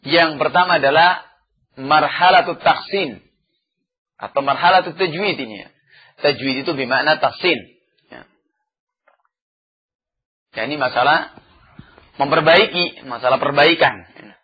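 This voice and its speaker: A man teaching a religious lesson, chanting verses slowly and then explaining them with frequent "ya" fillers. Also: fairly high pitch, husky, highs cut off. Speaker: Islamic teacher. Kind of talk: religious study lecture.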